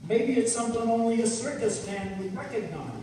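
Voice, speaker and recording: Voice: medium pitch, lively intonation, a little fast, warm tone, lightly acted. Radio crime play: performer as obliging circus boss, talkative, heard off-mic in a very roomy hall. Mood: helpful. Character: helpful, chatty male circus owner. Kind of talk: old-time radio crime drama acting. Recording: echoey hall, far from mic, faint noise.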